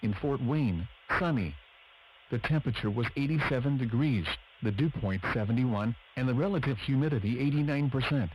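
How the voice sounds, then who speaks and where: A man with a flat, synthetic-sounding delivery reads formal scripted announcements as a weather radio broadcaster. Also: medium pitch, moderate pace, slight background noise, poor audio.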